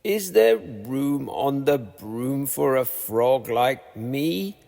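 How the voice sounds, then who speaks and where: A man's voice: mid-range voice, animated, extremely slow, gravelly, darkish tone, exaggerated voice. A keen and polite storybook animal, voiced by a man. It speaks eagerly in bouncy rhyme, with a long reverb around the voice. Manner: eager, rhyming recital.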